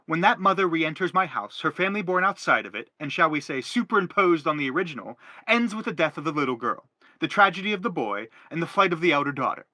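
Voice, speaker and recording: A man's voice: on the high side, expressive, quick pace, sharp tone, no room sound. A man playing a self-justifying middle-aged father in a stage play, philosophizing earnestly in a wordy, declamatory way. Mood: earnest explaining. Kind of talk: theatrical stage-play reading.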